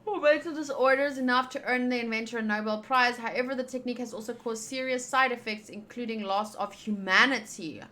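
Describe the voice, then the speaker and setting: A woman's voice: fairly high pitch, steady tone, a little fast, few pauses, sharp tone. A woman giving casual visual novel playthrough commentary, chatty and thinking aloud as she reads the text. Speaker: game streamer.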